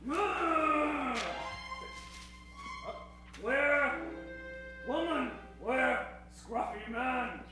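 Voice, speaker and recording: A man playing a gruff, indignant Native chief in a western radio comedy, grunting and speaking in halting broken sentences. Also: extremely high pitch, full character voice. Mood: indignant, alarmed. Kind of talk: improvised old-time radio comedy. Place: live stage with keyboard accompaniment. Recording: echoey stage show, distant, keyboard music.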